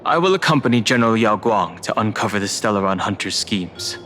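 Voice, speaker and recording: An actor playing a reserved, loyal, protective companion in a video game, serious and sparing with words. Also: male voice, lowish voice, lively intonation, brisk, background noise, lightly acted. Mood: resolute. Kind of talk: video game character dialogue.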